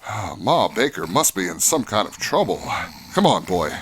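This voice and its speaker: A man's voice: lowish voice, big pitch swings, moderate pace, slight background noise. A man playing a kindly, upright Mountie sergeant, earnest and courteous in an old-fashioned way, in radio adventure style. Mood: urgent, heroic.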